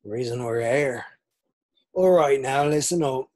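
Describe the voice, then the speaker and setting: A man playing a gruff, kindly, soft-hearted gamekeeper in an amateur screenplay table read. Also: mid-range voice, measured pace, natural voice. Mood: grave, instructing.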